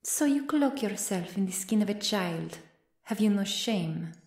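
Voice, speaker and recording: Woman acting a stern vampire lady, creaky voice, cold, formal, menacing, echoey space, ASMR audio drama. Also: mid-range voice, expressive, measured pace, darkish tone. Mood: cold scorn. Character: stern, scornful female vampire, loyal servant of a countess.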